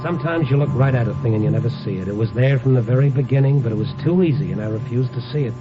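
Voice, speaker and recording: A man's voice: medium pitch, racing, natural voice. An actor playing a dogged, tough newspaper reporter who narrates his case in a hard-boiled 1950s radio crime drama style. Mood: wry, grim. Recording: muffled old radio broadcast, dramatic music.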